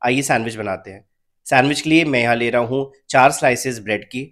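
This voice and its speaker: A young man calmly narrating a home cooking recipe step by step as the channel's host. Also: mid-range voice, quick pace. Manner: calm, step-by-step instructional.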